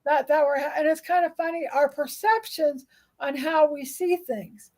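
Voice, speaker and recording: A woman with a high voice and a slight hoarseness co-hosts a spiritual podcast. She talks in a rambling, soothing way, explaining energy release. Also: normal speed, darkish tone. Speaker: podcast co-host and energy healer. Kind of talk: casual spiritual chat.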